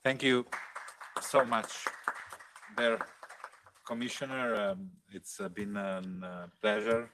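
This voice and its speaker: A man's voice: lowish voice, fairly even intonation, slow pace, pauses often. Hesitant man, noisy recording. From Slovenia. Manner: hesitant, polite, searching for words. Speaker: European Parliament member hosting a conference. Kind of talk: informal conference moderation.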